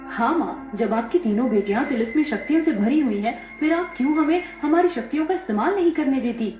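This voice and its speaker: Woman playing earnest high-voiced village girl. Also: animated, fairly quick. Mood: questioning. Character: earnest, helpful young magical village girls. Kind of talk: dramatized children's story dialogue. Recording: echoey, dull, music behind.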